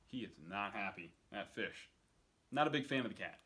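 A man's voice: on the low side, expressive, moderate pace, pushed and bright. A man in his thirties reading a picture book aloud expressively for children, with casual asides. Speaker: story time reader. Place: indoors on a couch.

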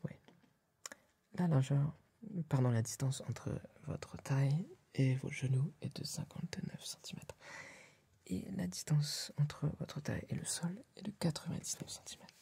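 Young man, ASMR tailor roleplay, breathy whisper, mellow tone, polite, hesitant pauses, close mic. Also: fairly high pitch. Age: young adult. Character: polite, fussy male tailor serving a client. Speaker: ASMR performer playing a tailor. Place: small room dressed as a tailor's workshop. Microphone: close ASMR microphone.

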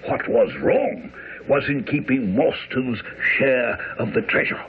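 A man's voice: mid-range voice, slow pace, husky. An actor playing a frantic, dying old father confessing a secret in a radio drama. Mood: urgent, weakening. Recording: muffled, faintly noisy old radio broadcast.

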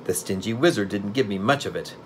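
A man's voice: on the low side, a little fast, slight background noise, close to an everyday voice. A man voicing a gleeful, scheming old witch woman for a storybook reading. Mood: eager. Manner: shrieking and cackly when excited, scolding.

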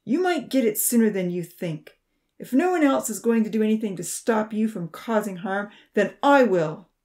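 A defiant young man in a storybook, as a female narrator plays him. His tone is firm and threatening, with some creak. He stands his ground sternly. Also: mid-range voice, sing-song, normal speed, a little mellow.

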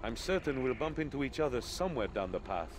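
A man's voice: mid-range voice, sing-song, fast, noisy background, close to an everyday voice. A man voicing a cocky, teasing young adventurer hero in a video game, playful and theatrical. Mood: wry, upbeat.

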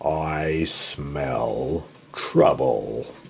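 A man voicing a big, gruff father bear who growls his words slowly in a storybook read-aloud. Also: very deep voice, noisy background, voice pushed down, full character voice. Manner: growling, slow.

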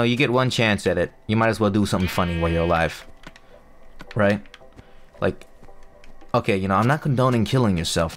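A young man, not a native English speaker, chatting loosely over his gameplay with lots of fillers and restarts. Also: on the low side. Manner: rambling, self-mocking, full of fillers and restarts. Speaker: gaming YouTuber. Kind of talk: casual gameplay commentary.

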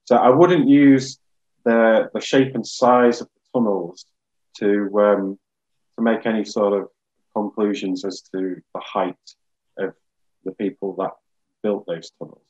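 Low-voiced man on a muffled video call. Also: fairly even intonation, unhurried, darkish tone. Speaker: guest geologist. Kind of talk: informal scientific explanation in a webinar. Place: small plain room indoors.

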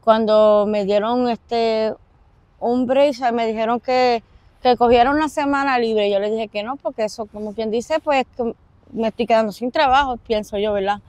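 A young woman with a Puerto Rican accent giving personal testimony in an interview, halting and pausing mid-sentence. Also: fairly high pitch, steady tone, a little mellow, dry recording. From Puerto Rico. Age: young adult. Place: outdoors in an open park.

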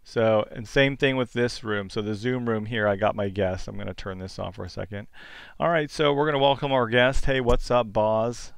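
A man giving a casual, easygoing software tutorial as host, thinking aloud as he goes. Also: lowish voice, moderate pace, vocal fry, a little hiss or hum. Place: indoors at a computer, screen-recorded demo. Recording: music bed playing behind the voice. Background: music.

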